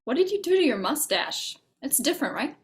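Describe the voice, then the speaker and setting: A woman's voice: high voice, sing-song, brisk. An actress at a table read playing a perky young female coffee shop cashier, breezy and slangy. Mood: friendly, unsure.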